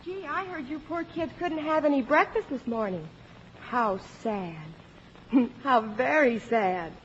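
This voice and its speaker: High-voiced gloating girl, echoing radio. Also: expressive, takes it slowly. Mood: smug, teasing. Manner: teasing, sugary. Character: gloating, mock-sympathetic young daughter of the family. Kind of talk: 1950s radio comedy acting. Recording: muffled, echoing, noisy old radio broadcast.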